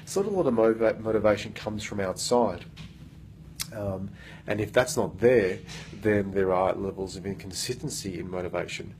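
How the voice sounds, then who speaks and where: A man's voice: lowish voice, normal speed, darkish tone, slight background noise, rough recording. A man in his thirties, a coach, talks earnestly into a phone held at arm's length in an office meeting room, with lots of fillers and restarts. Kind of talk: casual self-recorded vlog.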